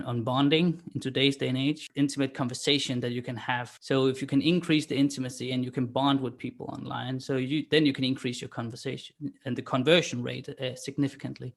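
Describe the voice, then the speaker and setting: A man with a Danish accent speaks English as a second language, mid-pitched and explaining things step by step. He talks at an even, conversational level, often restarting phrases with small fillers, as a podcast guest describing his work. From Denmark. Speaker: guest, online marketer. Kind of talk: podcast interview.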